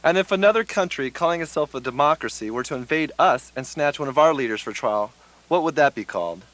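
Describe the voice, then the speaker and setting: Man playing press conference reporter, slightly high voice, quick pointed delivery, dry close sound. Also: animated, fairly bright tone, no echo. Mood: probing. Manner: formal, pointed questioning. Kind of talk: satirical radio play acting.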